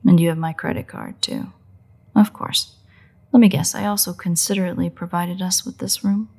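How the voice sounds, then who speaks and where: A woman playing a sardonic, predatory vampire in an intimate roleplay audio drama, with a husky, breathy voice and a playful, drawling delivery. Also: fairly low pitch, fairly even intonation, normal speed, darkish tone. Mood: incredulous, sarcastic. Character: teasing, unrepentant female vampire, sardonic and predatory.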